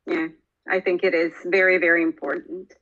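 A woman from Bosnia in her forties speaks in a bright, clear tone, pausing often with little fillers as she thinks. The sound is a bit dull and lo-fi, as if it came in over a video call. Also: on the low side, little pitch movement. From Bosnia and Herzegovina. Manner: thoughtful, many fillers. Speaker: guest, language teacher. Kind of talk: podcast interview.

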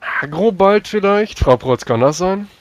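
A man's voice: high voice, lively intonation, unhurried, dry recording, soft background music. A man playing in a tabletop roleplaying game, talking conversationally in character.

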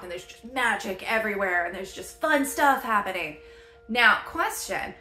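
A woman in her thirties chatting through a casual video game review from her living room, animated and laughing often. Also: mid-range voice, roomy sound. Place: home living room, seated in a chair.

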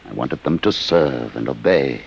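An actor playing a grave, remorseful inventor, speaking deliberately in a 1950s radio drama. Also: male voice, a bass voice, lively intonation, gravelly, full character voice. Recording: hissy, dull vintage radio recording.

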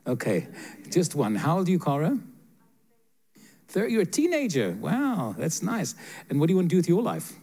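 An older man giving prophetic words to listeners in a church sanctuary, speaking with a handheld mic in a lively, joking, direct manner. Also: on the high side, normal speed. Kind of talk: prophetic ministry to congregation. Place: church sanctuary with seated congregation.

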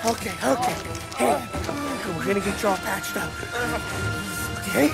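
A tough 1930s mob getaway driver in a video game drama. His voice is pitched high and urgent, yet he stays steady. Loud music plays right over him. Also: normal speed, vocal fry, rough recording. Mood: grim, reassuring.

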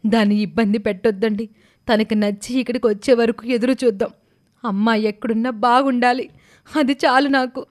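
A woman's voice: a little high, fairly even intonation, normal speed, pitched above the performer's own voice, lightly acted. A woman voicing a remorseful, emotional stepmother in a cartoon story, full of self-reproach.

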